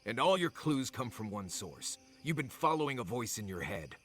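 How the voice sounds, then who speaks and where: A sardonic, stubborn wilderness guide in a fantasy video game. His mid-pitched voice swings widely as he grumbles bluntly, with music underneath. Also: very animated, normal speed, a bit bright. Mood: exasperated. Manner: exasperated, sarcastic, blunt. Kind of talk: dramatic video game acting.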